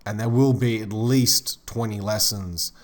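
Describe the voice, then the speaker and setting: Slightly hoarse man narrating a tutorial. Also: on the low side, unhurried. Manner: relaxed, rambling, many fillers like "kind of". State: slightly hoarse, losing his voice. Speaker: 3D modeling instructor.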